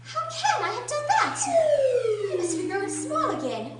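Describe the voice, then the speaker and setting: A woman's voice: extremely high pitch, huge pitch swings, moderate pace, exaggerated voice. Radio drama role: curious, fretful little girl, played by a woman, theatrical and exclamatory, heard very distant in a heavily echoing room. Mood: alarmed. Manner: exclamatory, theatrical, thinking aloud. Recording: roomy, distant, faint noise and hum.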